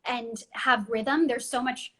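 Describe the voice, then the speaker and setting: A woman's voice: a little high, steady tone, takes it slowly, a bit bright. A young adult woman chatting in an interview about voice acting, talking freely with many ums and restarts. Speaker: voice actress guest. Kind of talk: casual interview chat.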